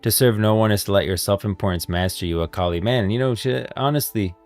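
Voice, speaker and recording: A man's voice: on the low side, darkish tone, soft background music. A man doing casual live-stream commentary on game lore, rambling and thinking aloud as he goes. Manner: rambling, thinking aloud, pauses to read out lines.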